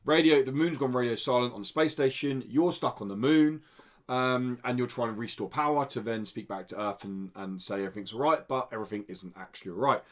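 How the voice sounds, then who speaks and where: A man's voice: medium pitch, a little fast. A man hosting a podcast in a studio, reading out indie game news in an informal, explanatory way. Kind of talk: casual podcast news chat. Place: indoor studio.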